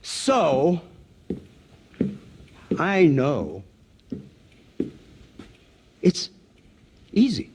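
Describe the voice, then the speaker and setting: An actor playing a smug, cynical American government agent in a video game, given to rambling, sardonic speeches. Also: male voice, fairly high pitch, wildly swooping pitch, very slow, pauses often, a little mellow, slight background noise, exaggerated voice. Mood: wry, musing. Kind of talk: video game cutscene acting.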